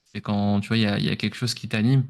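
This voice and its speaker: A man with a fairly low voice and an accent from France speaks as an interview guest. He explains things informally, feeling his way through sentences with some hesitation. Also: level tone, very fast. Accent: French from France. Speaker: podcast guest, entrepreneur. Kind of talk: conversational interview answers.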